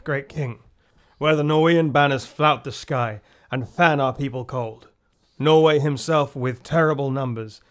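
A loyal nobleman acted by a man brings news of war in a slightly high male voice, in formal classical radio drama style. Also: fairly bright tone.

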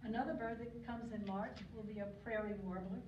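An older woman giving an informal slide talk at a podium, chatty and digressive, with frequent ums and throat clearing. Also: a little high, steady tone, brisk. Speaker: guest speaker on local birds. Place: lecture hall. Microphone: podium mic, recorded by a far camera. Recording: distant echoey hall recording, muffled, noisy.

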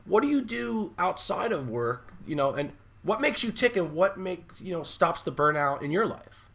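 A man in his forties from New York, hosting a podcast over a video call through a studio microphone, enthusiastic and quick to laugh. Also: sits high, very animated, gravelly, a bit bright. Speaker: podcast host. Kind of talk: casual video-call interview.

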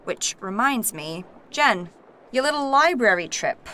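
A woman playing a gruff, blunt, commanding warrior in a fantasy audio drama. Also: a little high, animated, a little slow, bright, forceful tone. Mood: stern, warning. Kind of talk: naturalistic audio drama acting.

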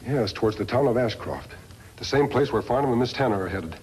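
An actor playing an upright masked lawman in a 1950s radio western, crisp, deliberate and authoritative. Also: mid-range voice, animated, darkish tone, natural voice. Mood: decisive. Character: upright masked lawman hero, calm and commanding. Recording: noisy vintage 1950s radio recording.

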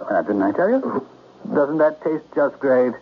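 Smug scheming crime boss, muffled radio. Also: male voice, mid-range voice, very animated, unhurried. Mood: falsely genial. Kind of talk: 1970s radio drama acting. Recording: muffled, noisy 1970s radio recording.

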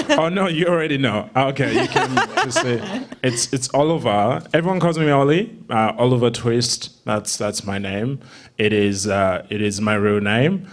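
Man in his twenties, from Rwanda, medium pitch, casual funny storyteller, stop-start phrasing, handheld stage mic. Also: animated, a little slow. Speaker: stand-up comedian guest. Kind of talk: casual humorous storytelling in interview. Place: theatre stage before a seated audience. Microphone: handheld microphone.

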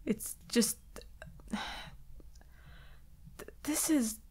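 A woman playing an anxious, vulnerable werewolf girlfriend in an intimate ASMR roleplay, soft and hesitant, stammering and often slipping into breathy whispers. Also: a little high, drawn-out words, heavily acted. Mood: hurt, sorrowful.

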